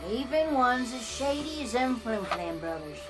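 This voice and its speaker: A woman playing a wise old granny pony with an American Southern accent, telling things in a homespun, gently scolding way for a cartoon comic dub. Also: fairly high pitch, very animated, moderate pace, rough recording. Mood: warm, sly. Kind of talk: fan comic dub character acting. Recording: music playing behind the voice.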